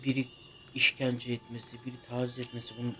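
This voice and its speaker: A man's voice: mid-range voice, completely flat delivery, fast talker, bright, forceful tone, a little hiss or hum. A man hosting a gaming channel, giving casual let's play commentary. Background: game music and sounds.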